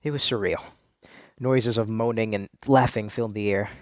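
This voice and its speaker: A man's voice: lowish voice, steady tone, moderate pace, dry recording, studio quality. A man reading a first-person story aloud in a theatrical, earnest, confessional way.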